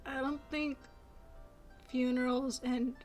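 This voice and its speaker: Soft-spoken young woman, unhurried, fairly high voice. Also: little pitch movement. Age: 20s. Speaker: gaming video creator. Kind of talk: casual let's play commentary. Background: game music.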